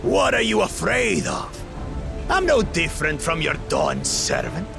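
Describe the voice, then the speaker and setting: An actor playing an arrogant, hulking male reptilian warrior who mocks a crowd with a gravelly, taunting voice in a video game cutscene. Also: fairly high pitch, huge pitch swings, exaggerated voice. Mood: sneering. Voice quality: gravelly, sneering. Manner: mocking, taunting, slow and drawn out. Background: game score music.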